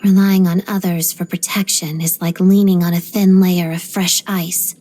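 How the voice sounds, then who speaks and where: An actress voicing a reserved, stoic young ballerina in a video game, speaking softly and breathily in a measured, restrained way. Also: female voice, on the low side, little pitch movement, lightly acted. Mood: stoic, resolute. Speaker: video game character voice actor. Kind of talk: scripted game voice lines, introspective monologue.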